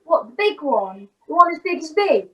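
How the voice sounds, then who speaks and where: Radio-play urchin: woman as pert young boy, extremely high voice, lively swoops. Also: moderate pace. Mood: cheeky, surprised. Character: cheeky young street boy. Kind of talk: amateur radio play acting.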